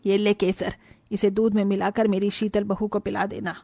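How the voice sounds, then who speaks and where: A woman voicing a bossy, biased older mother-in-law in an animated moral tale, commanding and fussy. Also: mid-range voice, normal speed, gravelly, no echo.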